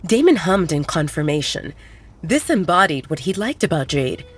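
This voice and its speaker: A woman's voice: low-pitched, sing-song, normal speed, husky. A woman narrating a werewolf romance audio drama in a scripted storytelling style.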